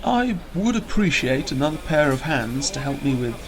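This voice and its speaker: A man playing a calm, literal-minded starship engineer in a science-fiction audio drama, precise and deadpan. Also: on the high side, normal speed, background noise. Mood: calm, dry.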